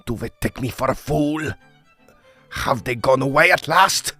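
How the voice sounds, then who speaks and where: An actor playing an irritable, suspicious and reclusive foreign gentleman in a Victorian audio drama, muttering indignantly to himself. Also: medium pitch, unhurried, faint music behind. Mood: grumbling, scornful. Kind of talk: period farce audio drama acting.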